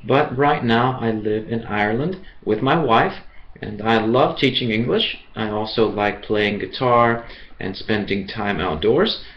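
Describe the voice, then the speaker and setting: A man from the USA teaching English in an online class, speaking slowly and clearly in an encouraging way. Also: fairly low pitch, lo-fi sound.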